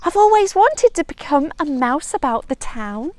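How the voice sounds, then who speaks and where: A woman's voice: high voice, big pitch swings, moderate pace, darkish tone, pitched above the performer's own voice. A woman voicing a small, eager male country mouse in a storybook, earnest and homely. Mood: excited.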